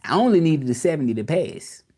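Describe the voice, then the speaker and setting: A man's voice: fairly high pitch, very animated, brisk, dark tone, husky. A man from Memphis with a Southern accent, rambling casually on a live stream and asking his viewers directly what they would do. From Memphis, Tennessee, US South. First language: English. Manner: rambling, repeats himself, questions the viewers directly.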